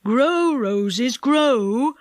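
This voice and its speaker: A woman voicing a cheerful, hard-of-hearing old man in a storybook, speaking slowly and a bit muddled. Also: sits high, animated, dry recording, clean studio sound, heavily acted. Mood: pleased. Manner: slow, pleased and a bit muddled. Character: deaf, cheerful old man who mishears.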